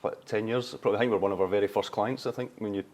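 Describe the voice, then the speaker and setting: A man hosting an interview, talking briskly and warmly with a business guest he has known for years. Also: fairly low pitch, steady tone. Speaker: interviewer, agency owner. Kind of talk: friendly interview.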